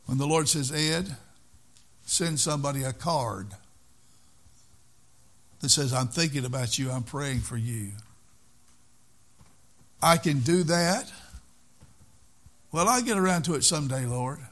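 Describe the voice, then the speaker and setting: A man's voice: fairly high pitch, animated, measured pace, long pauses, a bit bright. An older man preaching a sermon in an earnest way, repeating key phrases for emphasis.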